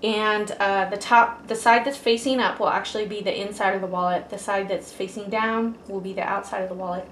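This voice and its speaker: Female craft tutorial host, informal step-by-step delivery, medium pitch, roomy echoing sound. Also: fairly even intonation, a little fast, few pauses, fairly bright tone. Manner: informal, addresses viewers as guys. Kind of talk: casual step-by-step how-to instruction. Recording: echoey room with faint background noise.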